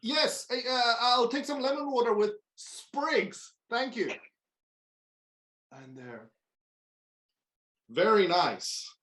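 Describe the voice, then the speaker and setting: A nervous male underling, acted out at a table read, speaks slowly from an echoey room. He is hesitant, flustered and placating, with pitch that wavers up and down. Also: lively intonation, a bit bright. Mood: falsely breezy. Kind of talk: table read of a comedy screenplay. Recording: echoey room on video call.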